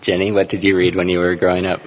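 A man moderating a panel, asking measured, hesitant questions. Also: low voice, completely flat delivery, in a large hall, slight background noise. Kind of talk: interview questions.

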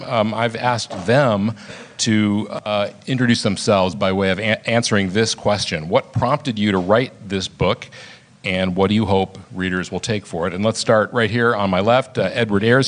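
Low-voiced man moderating a panel. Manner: relaxed, with frequent "uh" fillers. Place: festival event room.

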